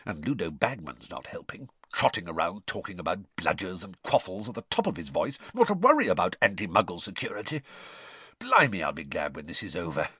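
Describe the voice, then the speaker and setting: A man's voice: sing-song, a little fast, bright, forceful tone, husky, dry recording, exaggerated voice. A man doing a weary, muttering wizard. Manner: low muttering, tired. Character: weary grumbling male wizard official.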